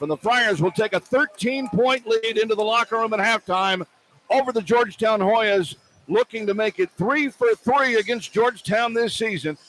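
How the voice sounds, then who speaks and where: A man's voice: high voice, a bit bright, slight background noise, rough recording. A man calling live basketball play-by-play on the radio in clipped, precise phrases. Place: courtside in a large indoor arena.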